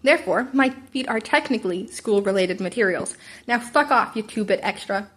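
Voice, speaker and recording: A woman's voice: medium pitch, moderate pace, fairly bright tone, slightly rough. A woman voicing a hot-tempered, foul-mouthed teenage boy who snaps and sneers contemptuously. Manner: snapping, sneering.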